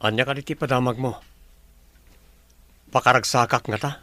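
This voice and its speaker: A man's voice: medium pitch, steady tone, moderate pace, pushed and bright, no echo, natural voice. An actor with an Ilocano accent playing a troubled, doubting businessman and boss in a radio drama. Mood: casual.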